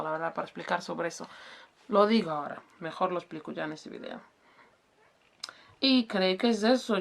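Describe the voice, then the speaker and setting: A woman whose first language is Portuguese, casually explaining self-employment rules and often stopping to search for words and correct herself. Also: lowish voice. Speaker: business advice vlogger.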